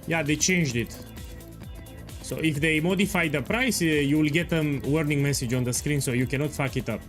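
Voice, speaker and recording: A man's voice: a little high, normal speed, soft background music. A man chatting casually and explaining his gameplay to a stream audience, repeating his points. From Romania. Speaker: game streamer.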